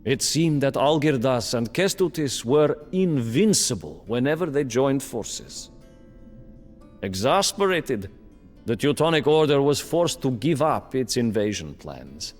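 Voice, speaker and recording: A man playing a grave, wry captive chronicler, narrating history in a measured, storytelling way for a game cutscene. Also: mid-range voice, sing-song, exaggerated voice. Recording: long reverb under music. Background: music.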